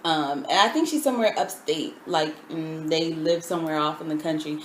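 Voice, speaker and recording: Relaxed low-voiced woman recapping TV. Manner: relaxed, many ums, drawn-out phrasing. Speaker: co-host. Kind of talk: casual TV recap chat. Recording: echoey room with faint noise.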